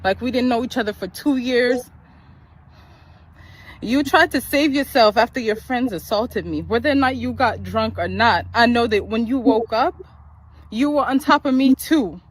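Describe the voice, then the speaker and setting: A woman's voice: fairly high pitch, no room sound. A woman with a Connecticut accent making a firm, emphatic video statement.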